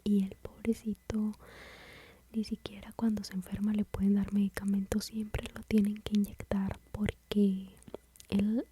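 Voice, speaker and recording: A woman with a Mexican accent whispering softly as she tells a personal story about motherhood, in a confiding, rambling way. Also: mid-range voice, level tone, a little slow, slight background noise. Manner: soft, confiding, rambling with fillers.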